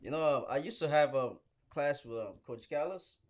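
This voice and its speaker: A man's voice: on the high side, normal speed. A young man in a casual group chat, telling stories in slangy speech and often calling his friends bro. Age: young adult. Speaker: podcast participant.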